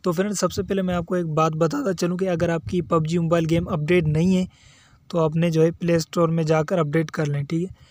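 Male tutorial host, high register, dry recording, instructional step-by-step delivery. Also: flat delivery, fairly quick, darkish tone, no room sound. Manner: instructional, repetitive verbal tags. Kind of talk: step-by-step mobile game tutorial voice-over.

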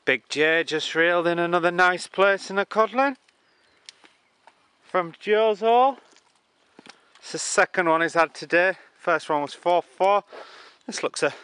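A man's voice: sits high, a little slow, long pauses, sharp tone, smooth. A man in his thirties chatting casually as a fishing presenter, agreeing readily and prompting with short questions. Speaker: presenter interviewing a fellow angler. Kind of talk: casual outdoor fishing chat. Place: outdoors on a pebbly shore. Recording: faintly noisy outdoor recording.

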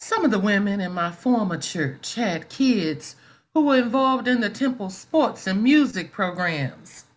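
A woman's voice: mid-range voice, lively intonation, unhurried, close to an everyday voice, rough recording. A middle-aged woman playing a proud, mouthy schoolteacher in a play reading on a video call. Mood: reminiscing, polite. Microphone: video call microphone.

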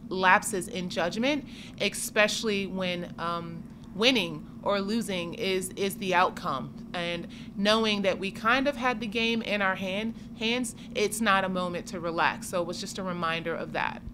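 A woman in her forties, a head coach, answering postgame questions in a measured, steady way at a table mic in a press room. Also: medium pitch. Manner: measured, steady, many "I mean" and "you know". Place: press conference room with sponsor backdrop. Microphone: table mic in front of her. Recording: noisy room ambience.

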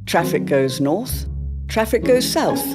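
A man narrating an old-time serial drama in a theatrical, portentous way with deliberate phrasing. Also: high-pitched, sing-song, music in the background.

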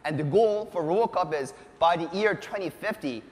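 A man's voice: fairly high pitch, normal speed. An enthusiastic man in his thirties giving a live keynote tech demonstration as a presenter on a large stage. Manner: enthusiastic, animated showman. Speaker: presenter, robotics researcher. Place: large conference stage, indoors. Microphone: lapel mic. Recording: echoey hall with long reverb.

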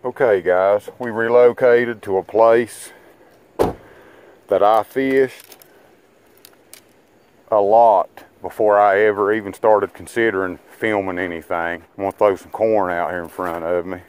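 A man's voice: lowish voice, fairly even intonation, a little slow, darkish tone, a little hiss or hum. A man giving rambling, folksy commentary to his viewers while fishing from a rocky riverbank, recorded on a body-worn camera. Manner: folksy, rambling, talks to viewers as guys and boys. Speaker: fishing vlogger. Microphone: body-worn action camera.

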